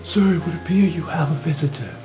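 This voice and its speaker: Man acting a hushed, deferential household servant. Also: on the high side, a little fast, over music, natural voice, low-quality recording. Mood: discreet, proud. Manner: formal, hushed, careful.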